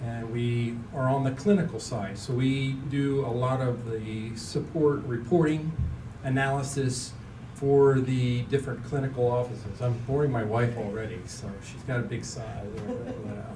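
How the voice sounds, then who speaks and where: A man's voice: medium pitch, fairly even intonation, unhurried, hardly any pauses, dark tone. Man, fifties, from Maryland, chatty, self-deprecating, roomy distant sound. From Maryland, United States. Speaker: class member. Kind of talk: casual conversation. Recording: echoey room, distant mic, noise.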